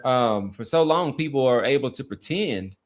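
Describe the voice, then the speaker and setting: A man hosting a podcast, talking casually about sports and society in an earnest, halting way with repeated words. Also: mid-range voice, lively intonation. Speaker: podcast host. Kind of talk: casual sports talk and commentary.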